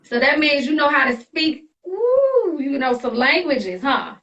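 A woman's voice: sits high, very animated, measured pace, a bit bright, muffled recording. A woman hosting a casual, hyped-up interview, with a bubbly, slangy, reactive way of talking. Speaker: host interviewer. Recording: distant mic with music behind.

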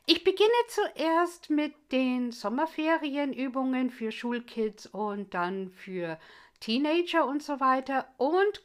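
Slow-speaking woman English teacher hosting. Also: on the high side, a bit bright. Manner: slow, friendly, explaining step by step. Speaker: English teacher and podcast host. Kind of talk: podcast lesson.

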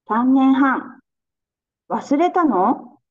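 Soft-spoken young woman, high voice, dull audio. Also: expressive, drawn-out words. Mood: gentle. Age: young adult. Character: Kyoko, young woman leaving for Canada. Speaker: radio drama actress. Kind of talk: slow clear radio drama acting for learners.

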